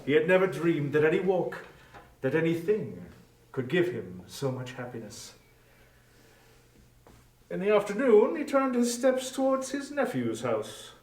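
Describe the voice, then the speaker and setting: Male storybook narrator, high voice, expressive and measured, heard a little away from the mic. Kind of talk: scripted dramatic storybook narration. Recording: echoey room, distant from microphone.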